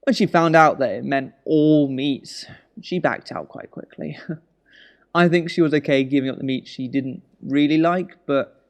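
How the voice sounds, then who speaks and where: An actor playing an anxious, weary husband in a naturalistic audio drama, speaking in a wry, hesitant, conversational way. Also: male voice, a little high, a little slow. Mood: fond, amused.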